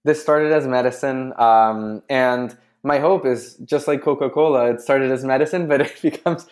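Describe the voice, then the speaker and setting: A man's voice: mid-range voice, normal speed. A man from Chile, a guest on a podcast, telling a story in casual chat and laughing often. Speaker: guest, drinks brand founder.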